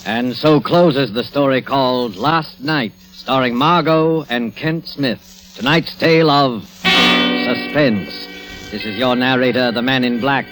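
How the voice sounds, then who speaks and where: An actor playing a solemn narrator of suspense tales, formal and deliberate in 1940s radio style. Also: male voice, mid-range voice, loud music behind the voice, lightly acted, poor audio. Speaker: narrator, host.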